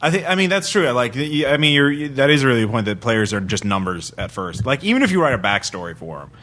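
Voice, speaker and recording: A man's voice: medium pitch, big pitch swings, quick pace, sharp tone. A man hosting a podcast discussion, speaking informally and often correcting himself mid-thought. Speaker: podcast host. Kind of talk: casual discussion.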